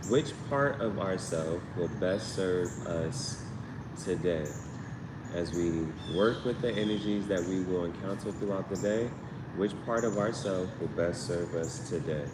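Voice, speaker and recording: A man hosting a casual live stream as a tarot reader, rambling with slangy asides and repeating himself as he goes. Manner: repetitive, tangential, slangy asides. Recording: roomy, distant mic, noisy background with vehicle rumble.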